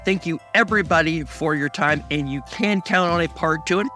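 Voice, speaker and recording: A man speaking informally as an audio drama's creator, halting and repeating phrases. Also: on the high side, sharp tone, soft background music. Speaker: producer and writer of the audio drama. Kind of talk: informal thank-you message to listeners.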